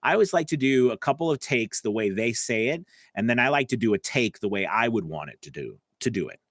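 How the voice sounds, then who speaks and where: A man's voice: mid-range voice, expressive, fairly quick, a bit bright, dry recording. A man coaching voice actors on a live stream, answering viewer comments in a casual, chatty way from his home studio. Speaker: voice-over coach.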